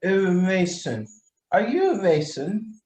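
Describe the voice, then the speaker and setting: A man acting a tipsy, jovial, foolish nobleman in a radio play, slurred and halting with giggly rambling. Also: sits high, huge pitch swings, a little mellow, full character voice. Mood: giggly, drunk. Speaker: student actor in a radio play. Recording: echoey room recording.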